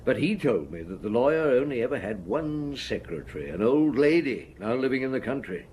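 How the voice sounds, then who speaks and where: Man acting a shrewd police chief, audio drama, animated pitch, measured, probing, dryly courteous. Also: a little hiss or hum, lightly acted. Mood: thoughtful. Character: shrewd, unhurried male police chief inspector.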